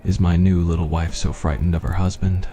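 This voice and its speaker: Man playing a low, murmuring cruel prince. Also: flat delivery, a little fast, mellow, slight background noise, natural voice. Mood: menacing teasing. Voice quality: husky. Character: cruel, cold, arrogant young prince, darkly amused.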